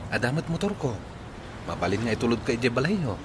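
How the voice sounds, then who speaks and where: A polite, lovestruck young Ilocano man, played by an actor, persistent in his courting. His voice is medium-pitched, in Filipino radio drama style, over clearly noisy ambience. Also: sing-song, brisk, lightly acted. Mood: pleading. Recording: clear background noise and ambience.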